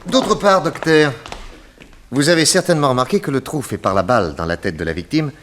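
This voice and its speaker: A man playing a shrewd, courteous private detective in a radio serial, speaking in a deliberate, inquisitive, polite manner. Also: medium pitch, expressive, fairly bright tone, a little hiss or hum, natural voice. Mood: courteous, directive. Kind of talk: old French radio detective serial acting.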